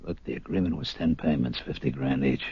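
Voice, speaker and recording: Very deep gravelly racketeer. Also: male voice, brisk, slight background noise. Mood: pressing, tough. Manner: blunt, needling, streetwise. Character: tough male racketeer demanding his payoff. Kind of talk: 1970s radio drama acting. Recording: dull lo-fi old radio recording.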